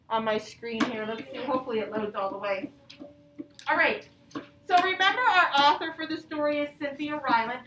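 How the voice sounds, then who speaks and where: A high-voiced woman speaks as an elementary teacher, guiding young children through a lesson and storybook. She is instructive and often checks in with questions. The sound is roomy and a little far from the mic, with faint noise. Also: a bit bright.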